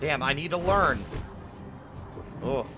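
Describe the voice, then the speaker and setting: A man's voice: fairly high pitch, animated, takes it slowly, music in the background. A man doing casual, sweary live commentary as he streams a video game. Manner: informal, sweary.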